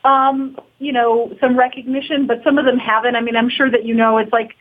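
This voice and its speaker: A woman's voice: fairly high pitch, little pitch movement, a little fast. Woman on thin, phone-like audio. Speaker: documentary producer, interview guest. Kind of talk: casual interview talk. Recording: thin, phone-like narrow band.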